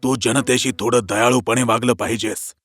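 Commanding fairy-tale king, played by a man, medium-pitched. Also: steady tone, normal speed, a bit bright, soft background music, natural voice. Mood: grave. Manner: commanding, formal. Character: authoritative king, stern disapproving father of two princes. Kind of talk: animated fairy tale voice acting.

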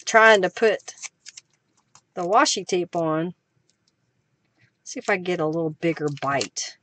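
A woman's voice: fairly low pitch, slow pace, a bit bright, slight background noise. A middle-aged woman from Oklahoma, chatting casually and giggling as she hosts a live crafting stream at her desk. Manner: chatty, giggly, thanks viewers, hums while working. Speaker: crafting livestream host.

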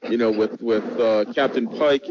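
A man's voice: medium pitch, steady tone, normal speed. A man discussing in a casual, analytical and enthusiastic way as a podcast guest. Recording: muffled audio with faint noise.

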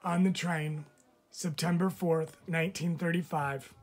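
A man, a teacher, reads a picture book of letters aloud with expressive, measured phrasing. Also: a little high, soft background music. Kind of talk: storybook read-aloud of letters.

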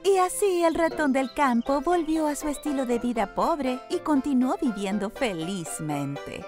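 A woman tells a children's story from a script. Her voice is high and very lively in pitch, with an expressive storytelling delivery. Music plays clearly behind her. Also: measured pace.

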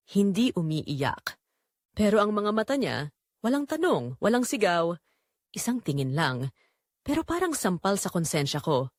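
A woman playing a remorseful middle-aged mother-in-law, telling her confession as an emotive, deliberate audio drama. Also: fairly low pitch, dry recording, clean studio sound. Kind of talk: dramatic first-person audio drama narration.

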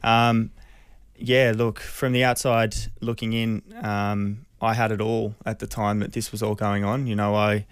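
An Australian man in his thirties telling a personal story in an interview, earnest, hesitant and measured, close on a studio mic. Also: fairly low pitch, little pitch movement, clean tone, no echo. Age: 30s. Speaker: radio interview guest. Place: small radio studio. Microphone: close studio microphone.

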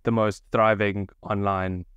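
Young man host, low smooth voice. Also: flat delivery, measured pace, no room sound, highs cut off. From South Africa. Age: young adult. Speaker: podcast host. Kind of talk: podcast interview. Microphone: studio mic, headphones.

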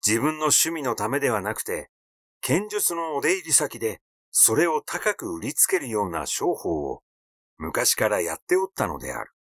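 Expressive male audiobook narrator, lively intonation. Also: medium pitch, normal speed, no echo, clean studio sound. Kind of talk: scripted literary reading.

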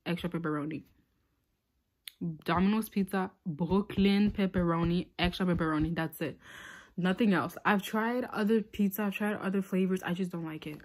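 Chatty young woman rambling through a story. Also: on the low side. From Tanzania. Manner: rambling, lots of "like" fillers. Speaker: vlogger. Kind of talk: casual storytime chat. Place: small room indoors. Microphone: phone held close.

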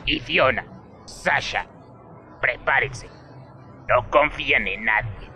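A stern older male swindler guarding his protégés in an adventure game fan dub, acted by a man. The voice is very bright and tense, with a Latin American accent and faint music behind. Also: mid-range voice, takes it slowly. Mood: stern, cautious. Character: stern, wary, protective older con man mentor.

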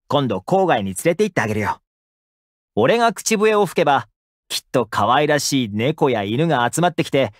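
Casting: young male game housekeeper, caring and upbeat, fairly high-pitched, lively intonation, dry studio sound. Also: dry recording. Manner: casual, gently attentive, expressive. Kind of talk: Japanese anime game character voice lines.

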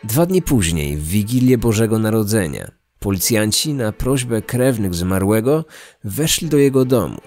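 Serious guy narrating true crime, kinda low voice, soft music under it. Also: animated, normal speed. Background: background music.

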